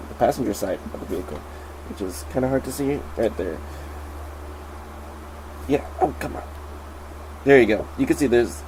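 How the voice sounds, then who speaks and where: A man's voice: medium pitch, a little hiss or hum, poor audio. Sick, nasal-sounding man reviewing a toy. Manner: chatty, excitable.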